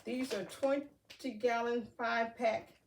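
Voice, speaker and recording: A woman's voice: a little high, fairly even intonation, slow. A chatty woman who sounds a bit nasal from a stuffy nose, talking through an unboxing in a loose, rambling way full of ums. She sits back from the microphone, so her voice sounds distant. A noticeable hiss runs underneath. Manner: chatty, rambling, many fillers. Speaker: vlogger. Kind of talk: casual unboxing vlog. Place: outdoors near a garden. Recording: noisy, from off mic.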